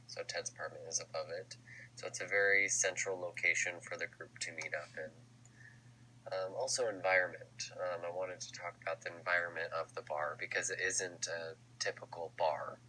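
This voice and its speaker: A young man gives a class presentation while fighting a cold, his deep voice sounding stuffy and nasal yet bright. He hesitates often, filling gaps with ums and restarting sentences. A faint hum sits under the recording. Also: rough recording. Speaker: student presenter.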